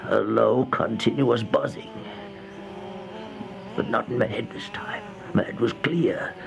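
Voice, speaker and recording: A feeble, once-proud male drifter tells his tale of dread in an old radio horror play. He speaks slowly in a creaky voice, stammering and dropping into breathy whispers. There is light noise on the aged recording. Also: mid-range voice. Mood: hushed dread. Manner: halting, stammering, breathy dramatic delivery with gasps and whispers. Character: starving, feeble, once-proud male vagrant turned housebreaker, haunted and fearful.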